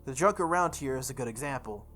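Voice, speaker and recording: A woman's voice: rumbling low, lively intonation, fast, a bit bright. A woman reading visual novel text aloud as a playthrough host, sometimes stumbling and re-reading lines. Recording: music behind the voice.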